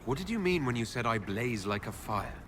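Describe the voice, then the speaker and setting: A man playing a composed, curious elven leader in a fantasy game, asking questions in a measured, wry way. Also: mid-range voice, sing-song, close to an everyday voice. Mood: puzzled. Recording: clear ambient noise behind voice.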